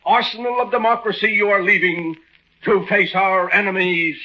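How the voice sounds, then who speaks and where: Man playing a pompous, high-voiced factory boss. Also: fairly even intonation, moderate pace, natural voice. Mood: grand, ceremonious. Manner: grandiloquent, speechifying. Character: pompous, flowery factory boss giving a farewell speech. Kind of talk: 1940s radio drama acting. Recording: dull vintage 1940s radio recording.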